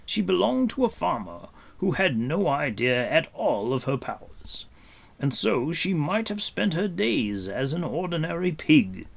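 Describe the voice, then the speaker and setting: A man voicing a wise, commanding warrior in a storybook, grave and patient, speaking formally and with deliberate emphasis. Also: fairly high pitch, very animated, gravelly, voice pushed up, heavily acted. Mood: solemn.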